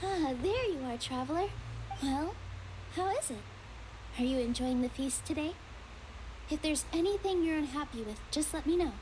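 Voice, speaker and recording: A woman voicing a sweet, gracious young dancer in a video game, polite, gentle and earnest. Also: high voice, animated, moderate pace, long pauses, noisy background. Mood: gracious, happy.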